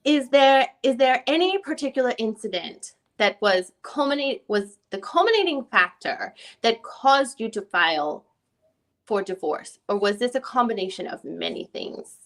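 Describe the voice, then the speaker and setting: A woman reading the part of a female lawyer who questions a witness in a deposition, in halting, rephrased questions. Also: on the high side, lively intonation, fairly bright tone. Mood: probing. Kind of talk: dramatic reading of a legal deposition transcript.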